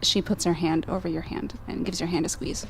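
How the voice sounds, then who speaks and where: A woman's voice: lowish voice, flat delivery, fairly quick, faint music behind. A woman roleplaying at a tabletop game, informally narrating what her character does.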